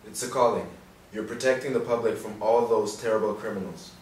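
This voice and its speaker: An actor playing a persistent, matter-of-fact police detective, earnest and insistent, in a stage play reading. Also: male voice, on the low side, a little fast, darkish tone, natural voice. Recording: roomy distant stage recording, faint noise.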